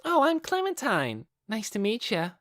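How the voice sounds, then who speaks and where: Teasing evil girl, guy doing the voice, way up high, sing-songy n flippant, fantasy audiobook. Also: huge pitch swings, moderate pace, a bit bright, dry recording, clean studio sound, full character voice. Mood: playful, flippant. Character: playful, sly, taunting young female villain.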